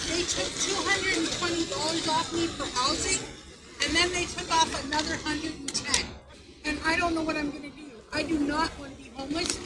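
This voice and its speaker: A woman's voice: high-pitched, poor audio. A woman at a protest giving plain, earnest personal testimony.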